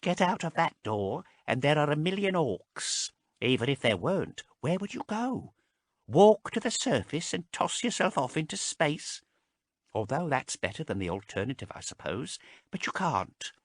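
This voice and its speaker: A man voicing a small, fearful male abhuman slave who talks in a hushed, pleading way, pitched well above his own voice. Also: very animated, moderate pace, no echo, clean studio sound. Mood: resigned.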